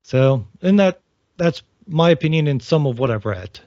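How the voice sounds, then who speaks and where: A man's voice: on the high side, expressive, moderate pace, darkish tone, dry recording. A father co-hosting a parenting podcast, chatting casually in a laid-back way with dry humor. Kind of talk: casual chat. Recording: dry, dull lo-fi audio.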